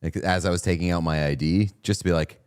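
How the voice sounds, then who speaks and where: A man in his mid thirties, co-hosting a casual sports watchalong, telling stories and reacting excitedly to the game with some swearing. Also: low-pitched, fast talker, clear, resonant tone. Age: 30s. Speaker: podcast co-host.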